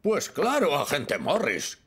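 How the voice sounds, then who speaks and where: Here is a pompous, blustering fire chief from a cartoon. His rather high voice leaps about wildly as he barks orders at his crew. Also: huge pitch swings, takes it slowly, noisy background, full character voice. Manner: blustering, commanding. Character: pompous older male fire station chief. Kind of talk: cartoon dubbing.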